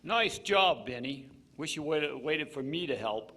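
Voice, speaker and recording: An actor playing a cool, cruel, wisecracking gangster in a 1940s-style radio drama. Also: male voice, a little high, expressive, measured pace, bright, forceful tone, full character voice. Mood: sly approval.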